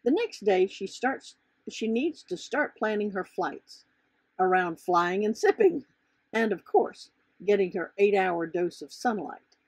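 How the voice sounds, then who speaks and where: Husky woman reading a children's storybook. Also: medium pitch, sing-song, unhurried, no room sound. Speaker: author reading her children's book. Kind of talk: scripted storybook narration.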